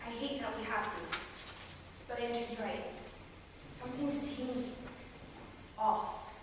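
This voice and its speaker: A woman's voice: fairly high pitch, steady tone, takes it slowly. A woman acting a troubled, uneasy friend in a staged play reading. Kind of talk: stage drama dialogue. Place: theatre stage, recorded from audience distance. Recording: echoey theatre hall, distant mic, clear noise.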